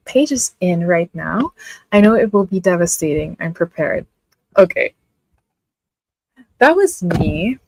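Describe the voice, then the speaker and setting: A woman's voice: mid-range voice, darkish tone, no room sound, rough recording. A young woman with a Filipino accent hosting a casual live reading stream, chatting and reading out viewer comments with lots of "like" fillers. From Philippines. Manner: chatty, many "like" fillers, laughs often. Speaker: livestream host.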